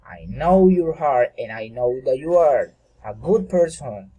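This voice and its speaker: A radiant old god from a storybook, acted out by a man. He speaks with slow, solemn care and halting phrases. The voice is husky and kind. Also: medium pitch, expressive, dark tone, poor audio. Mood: benevolent, earnest. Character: gentle radiant old male god of love.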